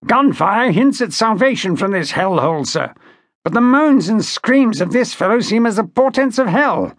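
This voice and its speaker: A male narrator plays an irate naval officer from a historical audiobook, held prisoner and full of contempt. The voice is harsh and growling, pitched well up and swinging widely, in a very dry, close recording. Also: sing-song, fairly quick, a bit bright, no room sound, exaggerated voice. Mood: indignant. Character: angry, contemptuous English naval lieutenant, a prisoner.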